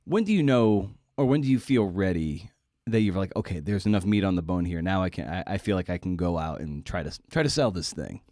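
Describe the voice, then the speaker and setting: A man with a low voice interviews a guest in a casual, informal style. The sound is dry, with no room echo around his questions. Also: animated, a little fast, a little mellow. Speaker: podcast host, interviewer.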